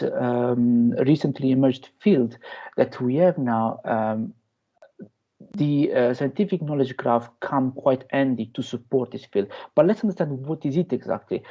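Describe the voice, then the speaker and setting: A young adult man with an Italian accent giving an online academic lecture, pausing often with fillers and restarts. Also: mid-range voice, muffled recording. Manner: hesitant, many fillers and restarts. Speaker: guest lecturer. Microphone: video call.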